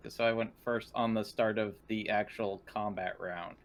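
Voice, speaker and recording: A man's voice: fairly low pitch, steady tone, normal speed. A man playing a tabletop roleplaying game, casually talking through the rules. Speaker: tabletop RPG player.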